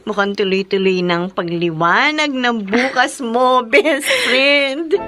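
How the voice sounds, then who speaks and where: High, excitable best friend. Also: female voice, takes it slowly, soft background music, heavily acted. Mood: delighted. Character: cheerful, excitable young female best friend. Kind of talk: Filipino radio drama acting.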